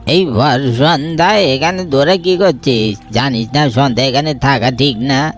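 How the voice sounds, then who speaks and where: A man's voice: medium pitch, measured pace, soft background music, natural voice. A man voicing a grave old village boatman who gives ominous warnings in a storybook ghost tale. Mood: stern, ominous. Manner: ominous, admonishing.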